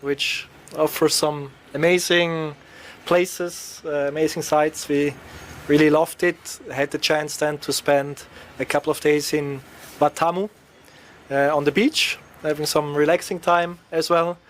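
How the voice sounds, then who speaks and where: Hesitant Swiss man, higher voice. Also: flat delivery, unhurried, fairly bright tone, slight background noise. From Switzerland. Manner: hesitant, many filler sounds. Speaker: guest. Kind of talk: interview answers.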